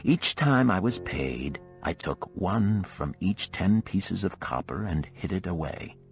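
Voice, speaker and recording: A man narrating a parable as a scripted audiobook, in a measured, expressive storytelling manner. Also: sits low, creaky, faint music behind.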